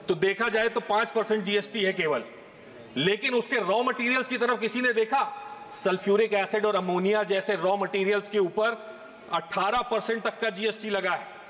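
High-voiced man orating in echoing chamber. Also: a bit bright. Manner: emphatic, rhetorical questions, gesturing while speaking. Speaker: member of parliament. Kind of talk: parliamentary debate speech. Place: large parliament chamber, indoors. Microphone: fixed desk microphone. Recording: long hall reverb from chamber.

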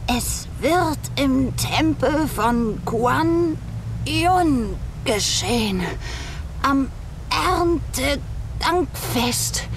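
Slow, creaky, ominous seer. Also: female voice, high voice, background noise, full character voice. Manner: slow, portentous, halting delivery. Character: ominous female fortune-teller seer foretelling doom. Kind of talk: dubbed fantasy game cutscene acting.

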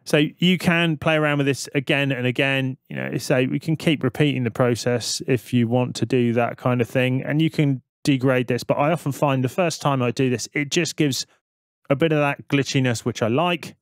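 A man narrates a screen-recorded software lesson in a dry, close recording. His pitch sits in an average male range, and he explains each step as a tutor would, thinking aloud as he goes. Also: steady tone, moderate pace, no room sound. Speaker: music production tutor.

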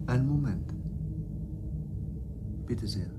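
An actor playing a courteous survivor of a destroyed world, narrating in a measured, formal way in a radio drama. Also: male voice, mid-range voice, animated, very noisy. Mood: measured, grave. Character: courteous male witness from a destroyed planet, explaining. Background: music.

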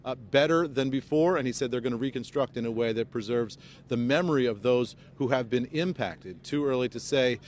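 A middle-aged American man delivers a live field news report. His voice sits at a medium pitch, picked up on a handheld mic outdoors with a faint rumble of background noise. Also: a little fast, a little mellow. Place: outdoors by a highway among press crews. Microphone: handheld microphone held close. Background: low rumbling outdoor noise.

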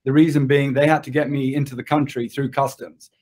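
A man in his sixties with a British accent talks as a guest in an online interview. His voice sits at a middle pitch, and he tells his story conversationally from a desk in an office. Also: flat delivery, fairly quick, a little mellow. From born in England, grew up in Zimbabwe. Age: 60s. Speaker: interview guest, production designer. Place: indoor studio office on a video call. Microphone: computer mic at desk distance on a video call.

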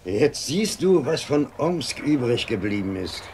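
An actor playing a grave, kindly plain-spoken rescuer in a radio play, with a raspy voice. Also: male voice, medium pitch, sing-song, measured pace, over loud music. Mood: grave, caring. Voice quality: raspy, creaky. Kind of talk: German radio play acting.

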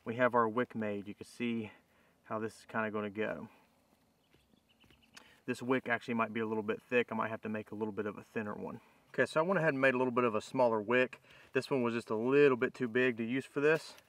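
Bright-voiced man giving a casual tutorial. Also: medium pitch, steady tone, quick pace, no echo. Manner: plain-spoken, informal, explanatory. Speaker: bushcraft demonstrator, host. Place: outdoors in woodland.

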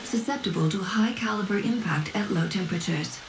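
A woman playing a calm, detached onboard computer in a video game, making precise, formal system announcements. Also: medium pitch, brisk, background noise, natural voice. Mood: detached, clinical. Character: calm, clinical female onboard computer assistant. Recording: game music over the voice.

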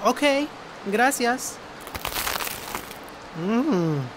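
Young twin brother for audio drama: cheeky, polite, fairly high, faint noise behind. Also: female voice, lively intonation, drawn-out words. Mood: cheeky, flustered. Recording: faint ambient background noise.

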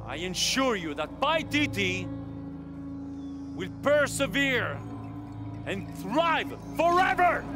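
Pompous messianic cult leader giving a slow speech, very high pitched, swooping, game cutscene. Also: male voice, sing-song, music in the background, exaggerated voice. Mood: exalted, rallying. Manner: booming, declamatory, pompous. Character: grandiose male cult leader, messianic orator. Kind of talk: theatrical ceremonial oratory in video game.

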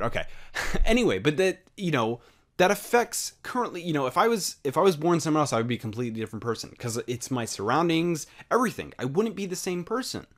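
Rambling young man with lively pitch. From United States. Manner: rambling, full of fillers and rhetorical questions, swears casually. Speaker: podcast host. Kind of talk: unscripted solo monologue.

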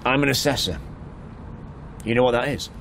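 A man's voice: medium pitch, normal speed, fairly bright tone. A man acting a chatty, probing motorist in a naturalistic radio drama, speaking hesitantly over car noise. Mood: wry, talkative. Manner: hesitant, probing small talk. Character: chatty, probing male motorist, insurance assessor. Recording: clear vehicle noise around the voice. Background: car engine and road ambience.

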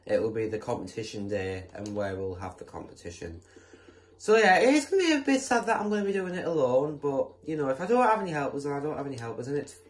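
A chatty male host talking through a building session in a game, with a lively voice that jumps around in pitch. He rambles, circles back with fillers and restarts, and sometimes breaks into excited high yelps. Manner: bursts into excited high exclamations. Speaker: gameplay channel host. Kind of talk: casual game commentary while building.

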